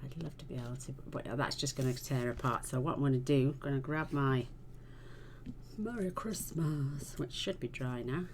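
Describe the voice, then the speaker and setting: A woman hosting a casual card-making tutorial, chatting in a homely way as she works, her voice a little croaky. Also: a bass voice, normal speed, a little mellow, slight background noise. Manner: chatty, homely, talks while working. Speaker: card-making channel host.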